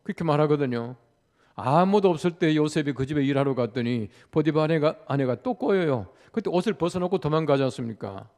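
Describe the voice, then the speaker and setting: A man's voice: a little high, a little mellow. An older man preaching a dawn sermon in a conversational way, with stories and rhetorical questions, in a large church sanctuary. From Gyeongsang region of Korea. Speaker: pastor. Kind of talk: sermon at a dawn prayer service. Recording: long church hall reverb.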